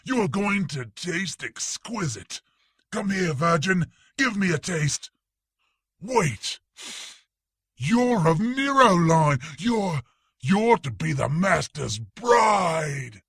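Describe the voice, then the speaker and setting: A man's voice: high voice, big pitch swings, slow pace, a bit bright, husky, no room sound, studio quality, full character voice. An actor playing a bloodthirsty male vampire in an audio drama, menacing and theatrical. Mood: gloating.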